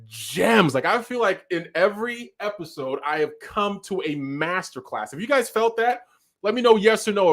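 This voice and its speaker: A man with a Nigerian accent hosting a live online talk show, energetic and hyped, over a video call mic. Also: on the high side. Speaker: host.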